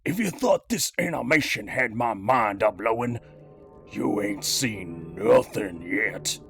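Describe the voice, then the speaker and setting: Man playing a gravelly, cocky cartoon gangster villain. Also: on the low side, lively intonation, slow, a bit bright, faint music behind, full character voice. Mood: conspiratorial. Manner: slangy old-time mobster talk, theatrical. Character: cybernetic master criminal gangster, cocky, streetwise action-figure villain. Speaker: host of a toy review show. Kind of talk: in-character scripted review monologue.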